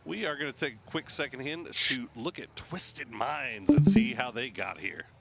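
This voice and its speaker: A man commentating a competitive shooter match, jokey and animated, laughing often. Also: medium pitch, normal speed, creaky. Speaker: esports caster. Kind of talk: play-by-play commentary.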